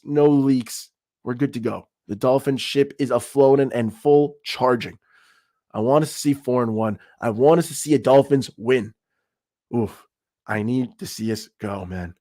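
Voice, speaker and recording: A man's voice: medium pitch, darkish tone, no room sound, studio quality. Hyped man trash-talking on a stream. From Peru. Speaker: podcast host. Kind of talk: live-stream sports talk.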